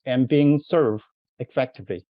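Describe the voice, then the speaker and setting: A man from California asking a question as an audience member, hesitant with pauses and restarts while explaining. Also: medium pitch, no room sound, narrow, tinny audio.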